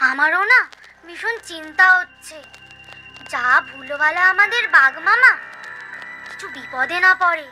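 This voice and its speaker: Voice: very high voice, animated, a little slow, long pauses, heavily acted, poor audio. A performer voicing an anxious young animal in a cartoon, worried and pleading. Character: anxious small animal, young nephew of a tiger. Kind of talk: cartoon voice acting. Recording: loud music behind the voice.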